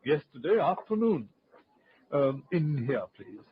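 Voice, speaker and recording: A man's voice: on the high side, big pitch swings, measured pace. A man playing a courteous, formal ship's officer in an old-time radio horror play, speaking politely with some hesitation. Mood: courteous, grave. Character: courteous ship's officer recovering bodies from the sea. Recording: dull, noisy old radio recording.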